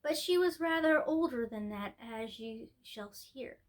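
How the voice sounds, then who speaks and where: A woman's voice: fairly high pitch, animated, measured pace. A woman storyteller hosting a storytime, reading a children's tale expressively. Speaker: storyteller, host of a storytime show.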